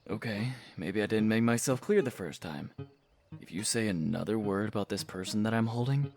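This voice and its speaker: A man voices a guarded young fantasy hero in an audio play. His low voice is curt and dry, stern and protective with a quietly threatening edge, over clearly audible music. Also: lively intonation, moderate pace. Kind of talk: fantasy audio drama acting.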